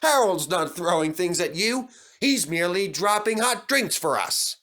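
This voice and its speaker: A man's voice: high voice, expressive, unhurried, pushed and bright, voice pushed up, full character voice. A man voicing a good-humoured, reassuring steam engine driver in a children's storybook. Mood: amused.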